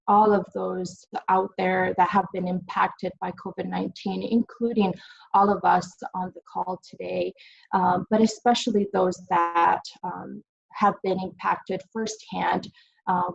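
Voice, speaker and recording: Woman with a gravelly voice. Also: lowish voice. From Italy. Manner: frequent ums and uhs, gentle pace. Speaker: presenter. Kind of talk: webinar presentation. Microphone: video call. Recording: dull lo-fi video call audio.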